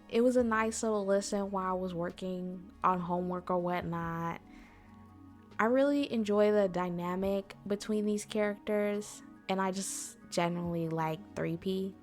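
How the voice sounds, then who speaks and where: A woman hosting an informal explainer talk in a chatty, conversational way, speaking directly to her listeners. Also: mid-range voice. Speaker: convention panel host.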